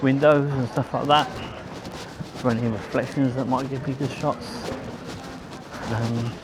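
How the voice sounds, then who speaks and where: A man with a London accent giving casual, rambling, low-key commentary as he films a walk outdoors. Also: steady tone, measured pace. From London, England. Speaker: amateur photographer, vlogger. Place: outdoors on a riverside walkway. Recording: outdoor city noise behind voice.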